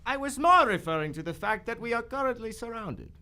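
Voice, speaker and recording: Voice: medium pitch, lively intonation, a little fast, sharp tone. An actor playing a loyal, long-suffering companion in live radio theater, polite and placating. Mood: urgent.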